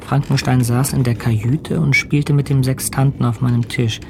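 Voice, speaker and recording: An actor playing a calm, authoritative ship's captain in a radio play, courteous and reflective. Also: male voice, mid-range voice, moderate pace, gravelly, background noise, close to an everyday voice. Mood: hushed narration. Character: composed, authoritative male ship's captain on polar voyage.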